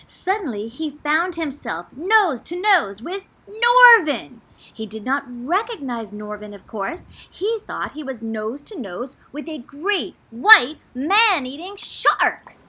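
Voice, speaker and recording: High, animated woman reading a storybook. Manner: theatrical, expressive, drawn-out suspense. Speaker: drama teacher reading a children's book aloud. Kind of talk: dramatic, animated children's storytelling.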